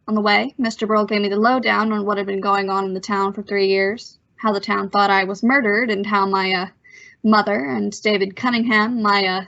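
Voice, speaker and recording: Bright, halting teenage boy played by a woman. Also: mid-range voice, dull audio, lightly acted. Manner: halting, nervous stammer with sheepish delivery. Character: earnest, bashful teenage boy, nervous and aw-shucks. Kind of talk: old-time radio narration and acting.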